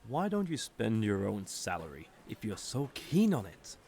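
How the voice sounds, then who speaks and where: An actor playing a haughty, sardonic villain commander in a roleplay audio drama, condescending and drawling, with mock-sweet menace. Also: male voice, lowish voice, huge pitch swings, moderate pace, creaky, darkish tone, exaggerated voice. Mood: sarcastic, threatening.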